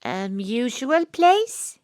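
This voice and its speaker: A woman's voice: fairly high pitch, big pitch swings, very slow, a little mellow, dry recording, clean studio sound, heavily acted. A woman acting an eccentric amateur detective who narrates her investigation in an audio drama, theatrically and with dramatic repetition. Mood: eager, bluffing. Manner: theatrical, breathless self-talk with dramatic repetition. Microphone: close home studio microphone.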